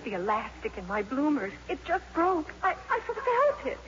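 A very high, breathless teenage girl, easily embarrassed and flustered. She stammers and pleads in old radio drama style. The recording is muffled with faint noise. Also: huge pitch swings, moderate pace. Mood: mortified. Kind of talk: 1950s radio drama acting. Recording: muffled, noisy vintage radio broadcast.

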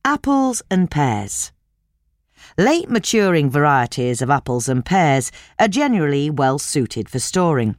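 Low-voiced woman narrating, very expressive. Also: unhurried, dry recording. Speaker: narrator reading a gardening article. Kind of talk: scripted narration.

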